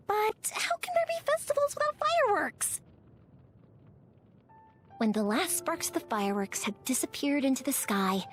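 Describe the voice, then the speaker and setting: An actress voicing a cheerful, chatty young woman who makes fireworks in a video game, playful and expressive. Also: high voice. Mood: fond storytelling. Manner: bubbly, expressive, talkative. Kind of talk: animated video game character voice acting.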